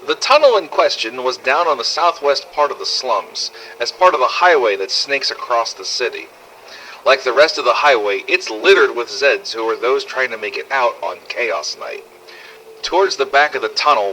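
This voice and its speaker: Casting: brash male apocalypse survivor played by a man for game-style audio log, bright forceful voice, blunt and sardonic, noisy ambience behind. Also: medium pitch, animated, moderate pace. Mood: matter-of-fact recounting. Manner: blunt, sardonic, swearing, conversational storytelling. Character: brash, foul-mouthed adult male survivor in zombie apocalypse. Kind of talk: scripted first-person audio log narration.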